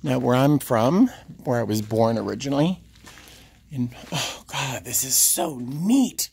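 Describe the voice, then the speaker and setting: Slow-talking American man vlogging outdoors. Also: medium pitch, animated, creaky, darkish tone, slightly rough. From Rhode Island, United States. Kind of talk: casual vlog chat, thinking aloud while exploring. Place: outdoors and inside small huts at a tourist site. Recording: outdoor ambient noise, handheld camera.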